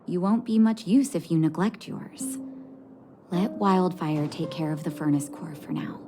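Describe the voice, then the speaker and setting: An actress voicing a calm, caring leader of an underground resistance in a video game, measured and gracious. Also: female voice, on the low side, lightly acted. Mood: reassuring, caring. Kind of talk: video game cutscene dialogue. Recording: music playing behind the voice.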